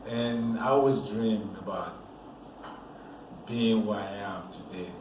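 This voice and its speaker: Male model from Cameroon, interview guest; low voice, loose storyteller, distant mic, roomy and noisy. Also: slow pace. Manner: relaxed, rambling storyteller with restarts. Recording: echoey room, voice from a distance, noisy background.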